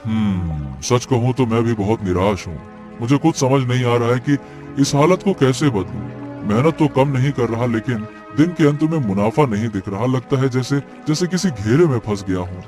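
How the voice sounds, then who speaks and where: Struggling village farmer, male actor: supportive and reflective, measured cartoon-story delivery, faint music behind. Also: mid-range voice, animated, darkish tone, lightly acted. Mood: discouraged, reflective. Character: struggling taro farmer Mohan, supportive, reflective childhood friend. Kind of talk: Hindi cartoon moral-story dialogue.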